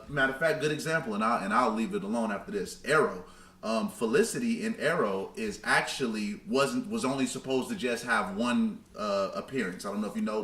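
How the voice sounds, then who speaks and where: A man's voice: lowish voice, fairly bright tone. A man co-hosting a podcast, talking casually and emphatically with slangy opinions. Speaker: podcast co-host. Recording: roomy echo, clear background noise.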